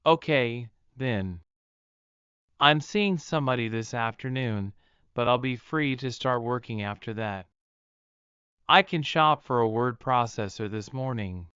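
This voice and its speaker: A male actor plays a mild, earnest writer in an audio drama, with an exceptionally clean, smooth voice at middle pitch. He sounds polite and considerate, recorded dry and a little dull. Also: measured pace, pushed and bright, no echo.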